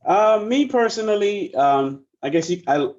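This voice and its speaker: A man in his forties, born in the Bahamas, chatting casually as a musician guest in an interview, relaxed and rambling with plenty of fillers. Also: high voice, measured pace, muffled recording. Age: 40s.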